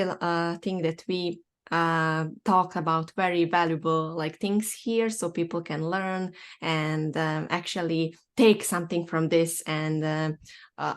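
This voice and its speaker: A young woman from Bosnia hosting a podcast chat in English with a Bosnian accent, speaking haltingly with many fillers and restarts. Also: lowish voice. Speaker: podcast host. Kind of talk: casual online chat.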